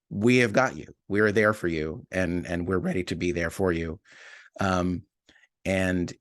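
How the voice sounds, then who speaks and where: A man's voice: lowish voice, dry recording, studio quality. A man talking casually and reflectively as an interview guest, searching for his words with a hesitant, self-deprecating manner. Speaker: podcast writer and co-producer, interview guest.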